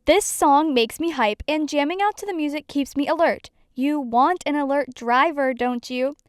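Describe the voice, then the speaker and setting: A woman's voice: high-pitched, moderate pace, dry recording, studio quality, lightly acted. A woman playing an upbeat, easygoing young driver in a student audio drama, breezy and casual. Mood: breezy, playful.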